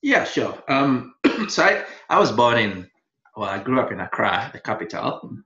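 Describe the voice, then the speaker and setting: A man from Ghana, a lawyer and guest on a podcast, telling his story in a relaxed way and laughing now and then. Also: medium pitch, measured pace. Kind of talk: interview storytelling. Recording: echoey, dull lo-fi sound.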